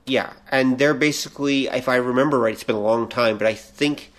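A man's voice: mid-range voice, brisk, fairly bright tone. A man co-hosting a podcast, explaining films in a casual, opinionated way. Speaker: podcast co-host. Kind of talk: casual film chat.

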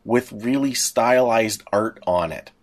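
A man's voice: on the low side, slow. A middle-aged man co-hosting a podcast, chatting casually as a fan in a rambling way with frequent restarts. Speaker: podcast co-host. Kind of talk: casual nostalgic fan chat.

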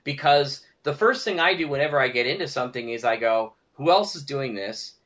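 Male podcast guest, mid-pitched voice, chatty storyteller in loose, informal conversation. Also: animated, brisk, a bit bright. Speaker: podcast guest, audio drama producer. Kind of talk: casual podcast chat.

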